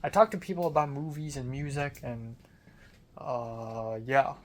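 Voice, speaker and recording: A young man records a personal vlog diary with a medium-pitched voice. He talks in a loose, conversational ramble, informal and mildly profane, as a student would when recounting the day. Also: unhurried. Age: young adult. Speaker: film student vlogger.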